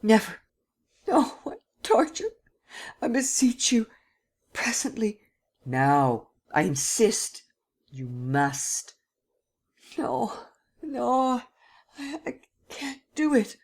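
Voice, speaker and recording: A woman's voice: sits low, very animated, a little fast, few pauses, darkish tone. Woman voicing a desperate, stammering young man. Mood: anguished. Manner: pleading, sobbing, stammering.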